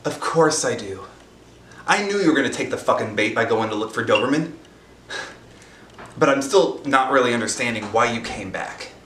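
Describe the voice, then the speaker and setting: An actor playing a menacing, sarcastic criminal who swings between quiet threat and outburst in a film drama. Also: male voice, medium pitch, lively intonation, moderate pace, long pauses, slight background noise, natural voice. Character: menacing, volatile, sarcastic male criminal boss. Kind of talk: dramatic film acting, profane dialogue. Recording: roomy echo, music behind.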